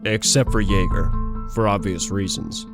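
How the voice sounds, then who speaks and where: A man acting as a calm commander in an audio drama. His voice is on the low side, formal and measured, giving orders with authority over clear guitar music. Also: close to an everyday voice. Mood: composed, firm. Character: calm, authoritative male commander of hero legion.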